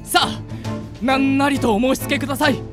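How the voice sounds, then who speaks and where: A woman's voice: sits high, steady tone, a little fast, over music, natural voice. A woman playing an eccentric, overeager boy in a radio drama, melodramatic and fawning. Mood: fawning, eager. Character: eccentric, overeager teenage boy besotted with pretty girls. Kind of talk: Japanese anime-style radio drama acting.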